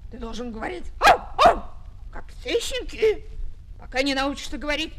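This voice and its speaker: A woman's voice: sits high, big pitch swings, moderate pace, a bit bright. A woman voicing an indignant, scolding mother dog in a children's audio fairy tale. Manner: stern, scolding.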